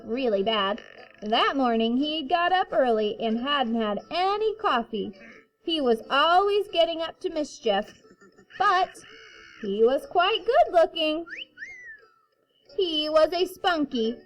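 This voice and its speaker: A woman kindergarten teacher reading a children's picture book aloud in a playful, sing-song way with drawn-out words. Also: high voice.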